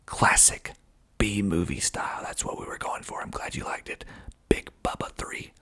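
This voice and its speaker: A man speaking in a breathy, husky ASMR whisper, reading aloud and adding deliberate, dry asides. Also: fairly bright tone. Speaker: filmmaker hosting his own video.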